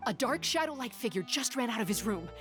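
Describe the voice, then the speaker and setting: Flustered, high-pitched young woman. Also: sing-song, fast talker, a bit bright, faint music behind. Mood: alarmed. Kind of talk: anime game voice acting.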